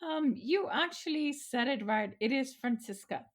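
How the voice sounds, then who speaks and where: A woman's voice: high-pitched, expressive, normal speed. A middle-aged woman from Austria, a photographer answering interview questions earnestly, with fillers and restarts. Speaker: photographer, guest.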